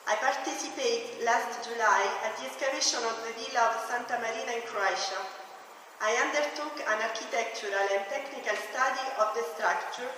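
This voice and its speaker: A woman's voice: a little high, sharp tone, a little hiss or hum. A young woman with an Italian accent gives an academic conference presentation, speaking carefully and sometimes restarting words. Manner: careful non-native phrasing with restarts. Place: large hall. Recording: echoey hall from far off.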